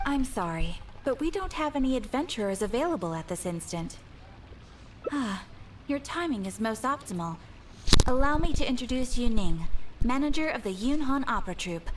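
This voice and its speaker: An actress playing a polite, efficient guild receptionist in a video game, speaking in a formal, courteous and measured way. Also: female voice, on the high side, big pitch swings, recorded from a distance, background noise. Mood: courteous, businesslike. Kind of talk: English video game voice acting.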